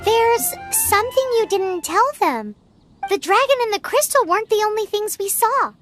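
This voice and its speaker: A woman voicing a tiny, chirpy, blunt fairy companion girl in a video game, with a squeaky childlike voice. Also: expressive, moderate pace, soft background music. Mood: excited, curious.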